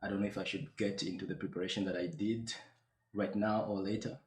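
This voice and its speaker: Young man from Tanzania, very low voice, relaxed interview guest. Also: fairly quick, echoey room. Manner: relaxed, chatty storytelling. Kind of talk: casual interview chat.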